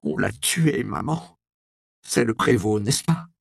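A male audiobook reader voicing a grieving, defiant teenage girl who speaks in halting, tearful bursts. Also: low voice, very animated, vocal fry, no echo, studio quality, heavily acted. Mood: angry. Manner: sobbing, halting speech.

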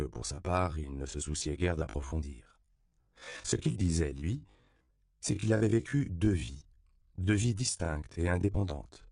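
A man reading a novel aloud as an audiobook narrator, in a calm, measured way. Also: sits low, gravelly, no room sound, clean studio sound.

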